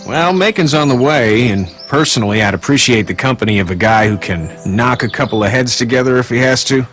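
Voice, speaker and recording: A man's voice: mid-range voice, expressive, a little fast, a bit bright, faint music behind, close to an everyday voice. An actor playing a folksy, easygoing father in a video game, chummy and practical. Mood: friendly, folksy. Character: folksy, chummy family man, proud practical dad. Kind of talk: naturalistic video game acting.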